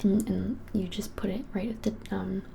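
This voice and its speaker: A woman in her twenties whispering softly and gently in an unhurried ASMR shop roleplay. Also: medium pitch, fairly even intonation, a little hiss or hum. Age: 20s. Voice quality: soft, breathy whisper. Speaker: ASMR creator playing a witch shop keeper. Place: small indoor room, plain wall.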